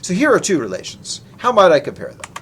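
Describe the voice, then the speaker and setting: A man's voice: sits high, huge pitch swings, fast talker, a little hiss or hum. A man presenting research in a technical lecture, explaining in an informal, conversational way. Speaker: researcher presenting his work.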